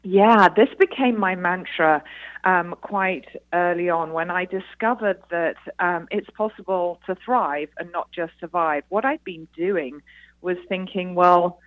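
A woman's voice: lowish voice, measured pace, no echo. A British woman, middle-aged, answering radio interview questions over the telephone in an earnest, explanatory way. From Britain. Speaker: interview guest, author. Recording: muffled, band-limited phone line.

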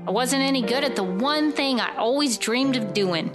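A woman's voice: on the high side, moderate pace, natural voice. A woman playing a wistful news producer giving an earnest, reflective monologue in an audio drama. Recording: music under the voice.

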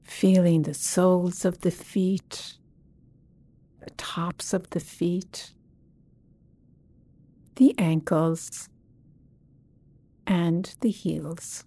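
A woman leading a guided body scan meditation as a teacher, speaking gently and soothingly with long pauses. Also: fairly low pitch, fairly even intonation, husky.

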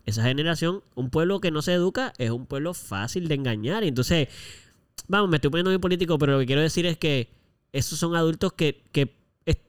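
A man's voice: a little high, animated, fairly quick, clear, resonant tone. A man with a Puerto Rican accent co-hosting a casual podcast, speaking informally and mixing English words into his Spanish. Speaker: podcast co-host.

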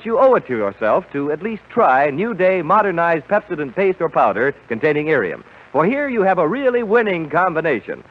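A man hosting and announcing in the style of 1930s radio. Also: a little high. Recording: muffled vintage radio recording.

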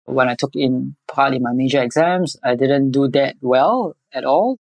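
Singaporean man, mid-pitched voice, podcast guest, measured conversational delivery, thoughtful pauses, dry recording. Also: lively intonation, vocal fry, dry recording. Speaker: podcast guest, environmental educator. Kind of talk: conversational interview answers.

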